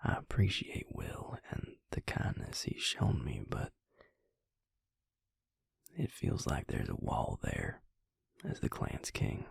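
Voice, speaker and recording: A man playing a brooding vampire maker in an intimate audio roleplay, speaking haltingly and reflectively in a husky, gravelly whisper. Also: wildly swooping pitch, no room sound, studio quality, full character voice. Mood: uneasy, confiding. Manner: halting, reflective, low-key. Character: brooding male vampire maker confiding in his mate.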